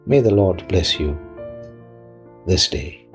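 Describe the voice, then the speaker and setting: A man who is a pastor delivering a sermon in deliberate, measured phrases, often posing rhetorical questions. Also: deep voice, animated, music in the background.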